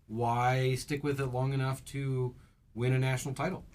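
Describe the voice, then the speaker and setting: A male local news interviewer asks questions in a relaxed, conversational way. He sometimes stumbles and corrects himself mid-question. His voice sits at an ordinary middle pitch. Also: moderate pace, clean tone. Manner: casual, conversational, stumbles on words.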